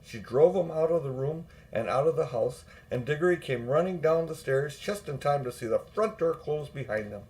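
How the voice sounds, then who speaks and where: A man's voice: on the high side, expressive, normal speed, hardly any pauses, darkish tone, low-quality recording. An older man narrating a children's storybook aloud as an audiobook reader.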